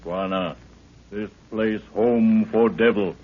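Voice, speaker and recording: An actor playing a superstitious native guide who talks in broken pidgin phrases in a 1930s radio serial. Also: male voice, fairly low pitch, steady tone, slow pace. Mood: ominous. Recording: tinny, band-limited 1930s radio recording.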